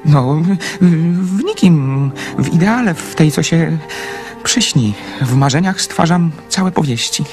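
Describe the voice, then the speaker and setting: A bashful, lonely young dreamer in a radio play. His speech is halting and full of nervous stammers, earnest and romantic. Music plays softly behind him. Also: fairly high pitch, lively intonation. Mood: wistful, fervent. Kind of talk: radio drama acting.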